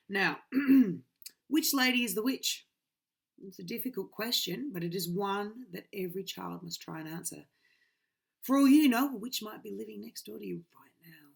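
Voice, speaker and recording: A woman's voice: medium pitch, creaky, husky. A woman, reading a children's book aloud dramatically into a webcam in a small bedroom. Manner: dramatic, swinging from loud to hushed. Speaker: reader.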